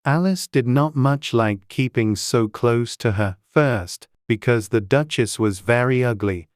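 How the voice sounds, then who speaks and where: A woman's voice: rumbling low, a little slow, darkish tone, no room sound, clean studio sound. A woman reading scripted storybook narration as an audiobook narrator.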